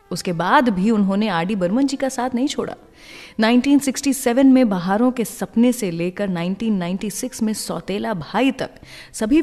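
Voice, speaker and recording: A woman's voice: medium pitch, darkish tone. An Indian woman hosting a radio show, telling stories and introducing songs conversationally, mixing in English words. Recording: long reverb, music behind voice. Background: background music.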